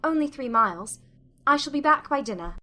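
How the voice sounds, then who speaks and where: A spirited young gentlewoman , acted by a woman in a dramatized audiobook. She is assertive and determined, with a high, bright and animated voice. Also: brisk.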